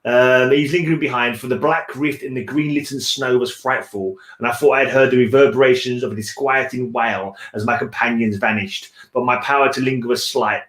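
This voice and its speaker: Man, mid-pitched voice, echoey room, rambling casual book talk, repeats himself, stream host. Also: steady tone, brisk, hardly any pauses, fairly bright tone. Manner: rambling, searching for words, repeats phrases. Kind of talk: casual book discussion chat.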